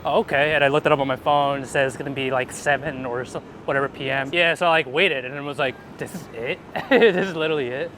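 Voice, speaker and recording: A man chatting casually and informally as he is interviewed about street photography outdoors, animated and quick to laugh, swearing now and then. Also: medium pitch. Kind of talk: casual chat while walking outdoors. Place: outdoors on busy city streets. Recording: clear city street traffic noise.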